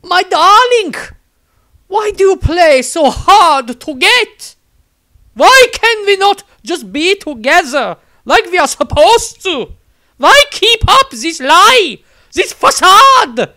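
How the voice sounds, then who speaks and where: A man's voice: extremely high pitch, sing-song, slow, sharp tone, voice pushed up, full character voice. A man voicing an overbearing, amorous, zealous nun in an audio story, gushing and cooing with great emphasis. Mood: pleading, dramatic.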